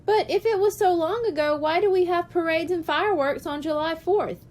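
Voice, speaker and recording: Woman voicing high-pitched, questioning little schoolgirl. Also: moderate pace, darkish tone. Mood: curious. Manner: questioning, childlike. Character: curious, questioning little first-grade girl. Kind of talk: children's audiobook character reading.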